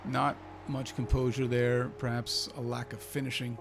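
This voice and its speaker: A man's voice: mid-range voice, level tone, normal speed, vocal fry, music in the background. A man with an Icelandic accent, hosting a gaming channel and giving a chatty, think-aloud commentary as he plays a football manager game. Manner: chatty, thinking aloud, cheering his team on.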